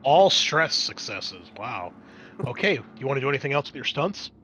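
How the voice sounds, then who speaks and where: A man runs a tabletop roleplaying session as game master. His voice is bright and crisp-edged as he describes scenes in a conversational, descriptive way. Faint noise sits behind him. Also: medium pitch, big pitch swings, moderate pace, poor audio.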